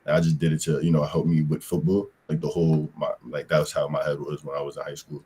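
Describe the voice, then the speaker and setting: A man's voice: rumbling low, flat delivery, fast talker, dark tone, slightly rough. A young man from Pennsylvania, a football player answering interview questions in a loose, rambling way with slang and lots of fillers. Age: young adult.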